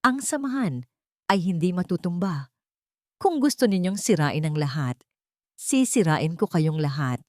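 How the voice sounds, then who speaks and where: A woman voicing a ruthless male crime boss who shouts scornful threats, in an audio story. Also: high-pitched, animated, a little slow, long pauses, dry recording, clean studio sound. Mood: raging.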